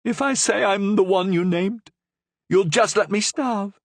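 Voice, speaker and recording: A man's voice: unhurried, no room sound. A man doing a frantic starving woman prisoner. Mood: frantic pleading. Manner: desperate, shrill, pleading cries.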